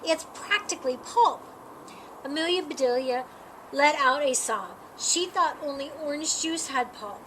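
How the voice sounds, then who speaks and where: A woman reading a children's picture book aloud in an animated storytime manner, acting out dialogue and sound words. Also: high voice, moderate pace. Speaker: storytime reader. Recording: clearly noisy background.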